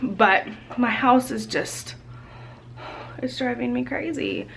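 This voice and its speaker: A woman's voice: on the high side, slow pace, creaky, a bit bright, slight background noise. A woman talking informally and candidly, as a mother vlogging about daily life in a casual, chatty style. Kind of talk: casual vlog chat.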